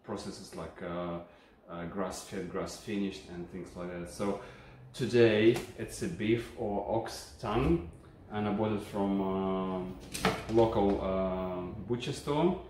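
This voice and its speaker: A man's voice: low-pitched, steady tone, slow, a little mellow. Ukrainian-accented man in echoey kitchen. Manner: friendly, explanatory, many fillers and hesitations. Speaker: channel host reviewing a kitchen appliance. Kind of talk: casual unscripted product review. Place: home kitchen, indoors. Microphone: phone camera at a distance. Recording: echoey kitchen with faint hum.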